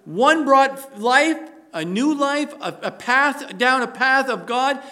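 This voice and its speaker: A male preacher with a fairly high, bright voice delivers a sermon at an unhurried pace. He swings between loud, emphatic declarations and hushed, prayerful passages. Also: expressive. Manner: rises to loud emphatic preaching, then drops to a soft prayerful tone.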